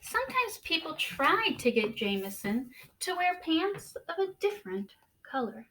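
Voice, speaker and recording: A woman teacher reading a picture book aloud to children in a deliberate, expressive way, stressing key words. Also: very high voice, slight background noise, rough recording.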